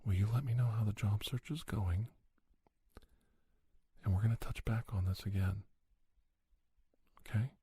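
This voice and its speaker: A middle-aged man giving soft-spoken, encouraging, folksy advice in an ASMR role-play, often slipping into a whisper. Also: low-pitched, husky, no room sound. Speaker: podcast host playing an advice-giving doctor. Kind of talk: ASMR, one-sided role-play conversation.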